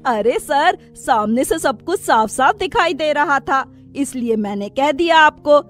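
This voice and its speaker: A woman's voice: sits high, lively intonation, a little slow, soft background music. A woman voicing a cheeky, childlike young schoolboy in a cartoon. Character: cheeky young schoolboy among his friends. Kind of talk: cartoon voice acting.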